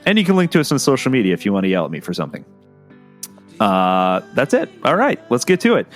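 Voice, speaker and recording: A man hosting a podcast, slightly hoarse from a cold, talking in a casual, rambling way with many fillers. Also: medium pitch, wildly swooping pitch, fast, faint music behind. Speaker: podcast host. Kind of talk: casual solo podcast intro.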